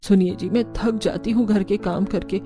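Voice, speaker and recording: A woman's voice: mid-range voice, steady tone, moderate pace, close to an everyday voice. A woman playing an aging, weary, complaining mother-in-law in a cartoon. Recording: background music.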